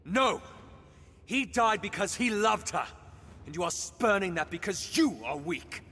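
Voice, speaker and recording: Intense blunt companion, bright swingy voice, impassioned, game drama, reverby. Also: male voice, sits high, lively intonation, unhurried, slightly rough, heavily acted. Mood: confronting, heated. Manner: emphatic, impassioned, confronting. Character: passionate, blunt male companion urging forgiveness. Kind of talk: dramatic video game voice acting.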